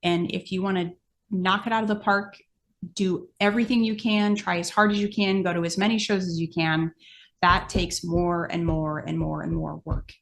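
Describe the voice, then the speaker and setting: A middle-aged woman with an American accent talks in a fairly low voice with a lot of creak. Her delivery is conversational and plain-spoken, laying things out step by step as in a casual podcast. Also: flat delivery, normal speed, fairly bright tone, slight background noise. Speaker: podcast guest, amateur horse exhibitor. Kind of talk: casual explanatory podcast chat.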